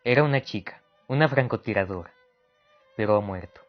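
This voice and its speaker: A man's voice: lowish voice, a little fast, lightly acted. A man playing a curt, regretful regimental commander in a radio drama. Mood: grave, regretful. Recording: dull lo-fi recording, music underneath.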